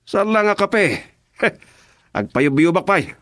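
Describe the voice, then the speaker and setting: A man with an Ilocano accent playing a smug henchman who flatters and needles in a radio drama. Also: sits high, slow, dry recording, clean studio sound, heavily acted. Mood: needling.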